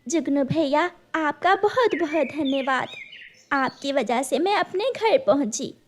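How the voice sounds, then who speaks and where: A woman voicing an anxious, gentle mother bird in a cartoon, polite and pleading. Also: high-pitched, animated, measured pace, slight background noise.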